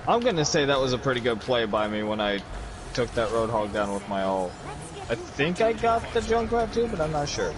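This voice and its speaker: A man's voice: mid-range voice, very animated, fairly quick. A man casually chatting and commentating on a video game as he streams. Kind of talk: casual chat and gameplay commentary while streaming. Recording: noisy mic with loud background noise.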